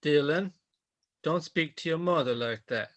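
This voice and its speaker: A man's voice: fairly high pitch, a little slow, a bit bright, dry recording, dull audio. A man playing a sentimental, reproving middle-aged father in an amateur radio drama.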